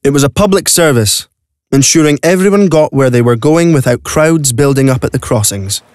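A man's voice: mid-range voice, lively intonation, moderate pace, a little mellow, no echo, clean studio sound. A man narrating a scripted audio play as a dramatic storyteller. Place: dry recording studio.

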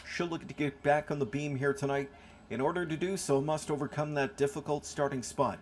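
A man's voice: a little high, fairly even intonation, fairly quick, slight background noise. A man calling a live harness race as the track announcer, in fluent, punchy phrases full of racing jargon.

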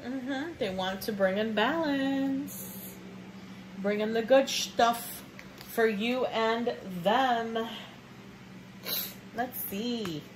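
A woman's voice: on the high side, extremely slow, long pauses, noisy background. A woman doing a casual tarot reading for viewers. She is a bit sniffly and talks in a chatty, affectionate way, calling listeners babe and baby nugget. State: sniffly from sinus trouble. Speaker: tarot reader. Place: indoors at a table with cards on red cloth.

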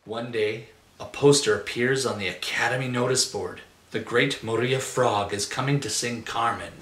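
A man's voice: medium pitch, normal speed, fairly bright tone. A middle-aged man reading a children's picture book aloud with expression, indoors. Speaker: storybook reader. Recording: roomy echo, faint music behind.

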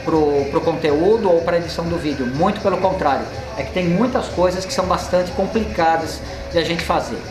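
A Brazilian man in his forties, a mechanic hosting his channel, explaining repair work while gesturing at a car in an indoor workshop. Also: on the high side. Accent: Brazilian Portuguese. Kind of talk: casual workshop vlog talk. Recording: echoey workshop with music underneath.

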